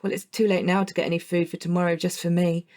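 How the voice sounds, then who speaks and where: A woman's voice: lowish voice, flat delivery, fast talker, darkish tone, close to an everyday voice. An actress with a British accent playing a blunt, sweary young woman in a naturalistic audio drama. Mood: wistful, grumbling. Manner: informal, exasperated, sweary. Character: Rachel, blunt, sweary young woman missing her partner.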